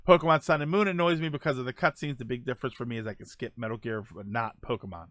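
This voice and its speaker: A man's voice: mid-range voice, fast, a bit bright. A man hosting a casual live stream, thinking aloud while reading viewer comments, often restarting his sentences. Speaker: streamer host reading chat.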